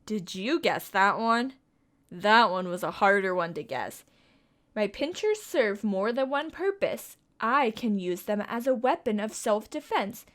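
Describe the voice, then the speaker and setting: A woman's voice: mid-range voice, expressive, unhurried. A young woman reading a picture book aloud to children, with a sing-song, coaxing way of asking the listeners questions. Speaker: children's storytime reader.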